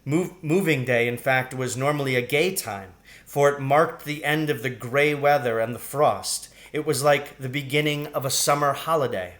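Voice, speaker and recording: A man narrating a children's storybook in a deliberate, expressive way. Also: mid-range voice. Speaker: audiobook reader.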